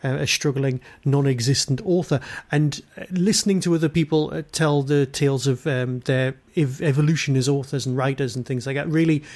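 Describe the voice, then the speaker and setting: A man's voice: mid-range voice, fairly even intonation, brisk, few pauses, a little mellow. A man hosting a podcast interview in a casual, jokey, tongue-in-cheek way.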